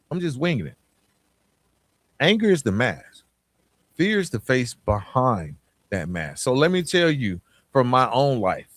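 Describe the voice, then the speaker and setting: A man with a Southern accent from Atlanta hosts a motivational podcast in a medium-pitched voice. He talks informally and emphatically, circling back on phrases and throwing out rhetorical questions. The sound is dry, with little room around it. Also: a bit bright, dry recording. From Atlanta, southern United States. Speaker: podcast host.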